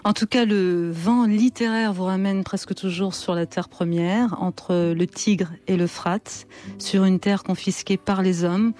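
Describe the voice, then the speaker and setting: A woman hosting a radio interview, asking questions in measured, literary phrasing. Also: medium pitch, fairly even intonation, mellow. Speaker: radio host.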